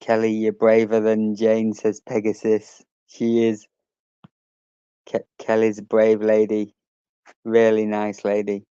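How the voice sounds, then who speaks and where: A man's voice: lowish voice, completely flat delivery, slow pace, dark tone, clear, resonant tone, no echo, muffled recording. A man hosting a casual livestream, chatting and reading out messages from his chat, swearing now and then.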